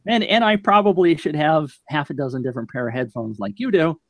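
A man's voice: fairly high pitch, animated, brisk, dry recording. A man in his fifties chatting casually as a podcast guest, giving his take on products into a close studio mic. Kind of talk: casual chat, product review. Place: home office indoors. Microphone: studio microphone on shock mount, close up.

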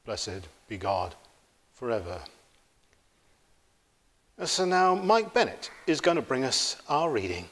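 A middle-aged man leading worship as a priest, praying in a solemn, formal and measured way. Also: mid-range voice, big pitch swings, fairly bright tone. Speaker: priest leading a church service. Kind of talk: solemn liturgical prayer. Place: inside a church, at the altar. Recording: reverberant church interior.

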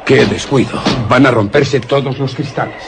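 A man's voice: medium pitch, moderate pace, vocal fry, very noisy, lightly acted. An actor with a Castilian Spanish accent playing a nervous man in a gloomy haunted castle, muttering theatrically in a radio horror drama. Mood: agitated. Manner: theatrical, muttering tensely to himself.

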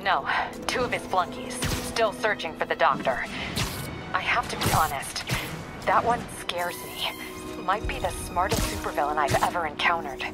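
A woman's voice: lowish voice, animated, moderate pace, close to an everyday voice. A woman playing a weary, no-nonsense police captain in a video game, terse and dry. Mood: grim. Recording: loud music over the voice. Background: loud game music.

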